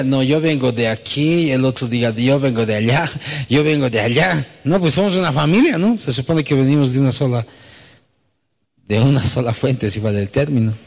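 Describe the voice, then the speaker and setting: A man's voice: medium pitch, darkish tone. A man, a pastor, preaching conversationally with anecdotes, often putting rhetorical questions and little tag questions to his listeners. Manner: acts out quoted dialogue. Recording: echoey hall with long reverb.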